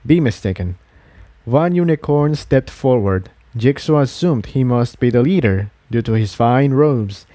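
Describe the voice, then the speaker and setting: A man's voice: mid-range voice, warm tone, no echo. A man reading a fantasy adventure story aloud as scripted narration. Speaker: fan fiction narrator.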